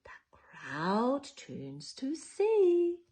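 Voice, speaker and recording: A woman reading a children's picture book aloud in a playful, theatrical way, with dramatic whispers and drawn-out words. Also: sits high, wildly swooping pitch, mellow, slight background noise. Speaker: children's storytime reader.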